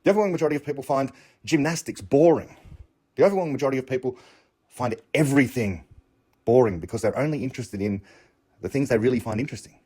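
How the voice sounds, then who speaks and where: English guy, husky voice, talking through an idea and asking himself questions. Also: medium pitch, quick pace, mellow. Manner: reflective, argumentative, rhetorical questions. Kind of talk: unscripted monologue on learning and understanding.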